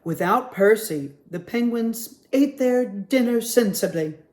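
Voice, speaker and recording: A man reading a picture book aloud at a children's story time, with a gentle, expressive manner. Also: very high voice, slow pace.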